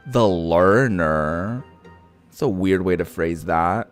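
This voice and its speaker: A man chats casually while playing a game. His voice is low and clean, his manner sassy and slangy, wandering off on tangents. Faint music plays behind him. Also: slow pace. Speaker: gaming streamer. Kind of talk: casual chatty game commentary.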